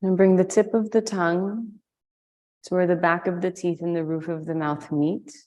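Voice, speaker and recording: A woman leading a guided meditation, giving slow, soothing instructions with long pauses. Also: on the low side, steady tone, darkish tone. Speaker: meditation and yoga teacher.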